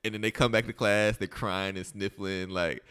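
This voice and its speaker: A man's voice: lowish voice, steady tone, moderate pace, fairly bright tone, clear, resonant tone. An American man from southern Illinois and Kentucky, telling stories as a podcast guest in casual, informal talk. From southern Illinois and western Kentucky, United States. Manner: informal, peppered with "like" and "you know". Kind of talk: casual conversational storytelling.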